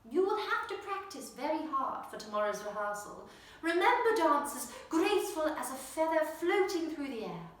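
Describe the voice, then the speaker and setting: A woman's voice: high voice, sing-song, pitched above the performer's own voice. A woman voicing an elegant, exacting but encouraging female ballet teacher in a children's storybook, with theatrical, emphatic delivery. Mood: instructive. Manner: theatrical, emphatic, drawn-out praise and instruction. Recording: roomy echo, distant microphone.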